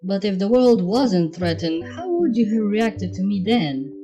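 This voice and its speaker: A woman's voice: medium pitch, sing-song, a little slow. A woman voicing a guarded, modest unicorn mare in a story reading, with a dry, understated delivery. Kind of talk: fan fiction character reading. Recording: dull, muffled sound under music.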